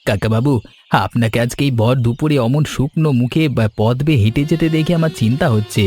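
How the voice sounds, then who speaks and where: A man voicing a friendly village meat seller in an animated story, respectful and consoling. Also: medium pitch, brisk, faint music behind, natural voice. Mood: sympathetic. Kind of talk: Bengali animated story dialogue.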